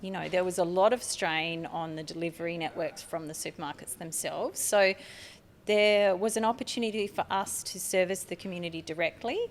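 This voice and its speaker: Australian woman, middle-aged, earnest podcast guest, lower register, faint hiss behind. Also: steady tone, brisk. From Tasmania, Australia. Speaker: marketing manager guest. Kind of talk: podcast interview.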